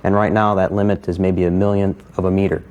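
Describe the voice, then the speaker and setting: A man's voice: low-pitched, level tone, fast talker, a little mellow, highs cut off. A man explaining research in an interview as a scientist.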